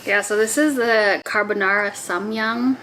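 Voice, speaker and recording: Chatty young woman with quick laughs. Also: mid-range voice, pushed and bright, a little hiss or hum, rough recording. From grew up in Indonesia, born in the US. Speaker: co-host. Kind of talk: casual chat while eating.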